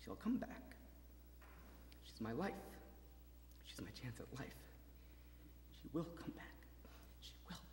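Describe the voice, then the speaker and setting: An actor playing a gravely wounded young soldier in a stage drama, halting, repetitive and pleading, moving between murmurs and cries. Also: male voice, mid-range voice, big pitch swings, darkish tone. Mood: despairing. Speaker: actor in a stage adaptation of a radio play. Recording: roomy hall, distant mic, faint noise.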